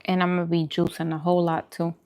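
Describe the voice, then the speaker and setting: Slightly low-voiced woman chatting casually. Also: monotone, quick pace, a little mellow, clean tone. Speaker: host of a vegan food channel, eating on camera. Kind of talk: casual chat while eating, mukbang livestream.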